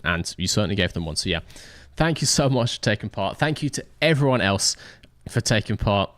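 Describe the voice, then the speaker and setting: A man's voice: fairly low pitch, lively intonation, fairly quick, fairly bright tone, no echo. A man hosting a livestream and casually interviewing racers after an event. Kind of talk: casual post-race interview.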